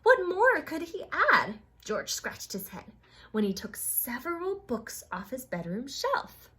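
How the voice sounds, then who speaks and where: Female storytime reader, high voice, very animated delivery, speaks directly to kids and reacts as she reads. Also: measured pace. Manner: expressive, addresses children, exclaims and reacts to the story. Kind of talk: read-aloud picture book narration with asides to young listeners.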